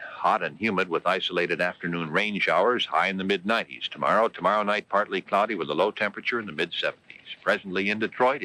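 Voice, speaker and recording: A man reads station announcements in scripted 1970s radio style. His voice is low-pitched and bright-edged, recorded close and dry with no room sound. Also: dry recording, poor audio.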